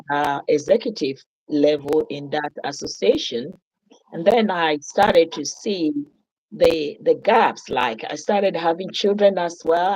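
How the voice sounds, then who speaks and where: A Yoruba-speaking woman from Nigeria with a Nigerian accent, calmly telling her story as an interview guest. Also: low-pitched, poor audio. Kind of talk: interview answers.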